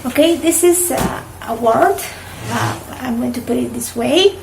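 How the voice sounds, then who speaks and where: A woman teaching English pronunciation, deliberately repeating words for learners to copy. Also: sits high, vocal fry, background noise. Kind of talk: pronunciation drill for students.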